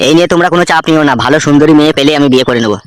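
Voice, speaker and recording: A man's voice: on the high side, very fast, very noisy, lightly acted. A man playing a cocky, stubborn tough guy in his twenties in a comedy cartoon, brash, with a Bengali accent. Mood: dismissive. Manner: brash, dismissive.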